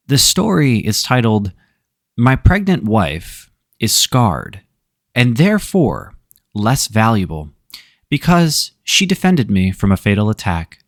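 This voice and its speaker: A man's voice: fairly low pitch, dry recording, clean studio sound. A man hosting a storytelling show, reading online stories aloud with wry, sarcastic asides. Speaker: storyteller host.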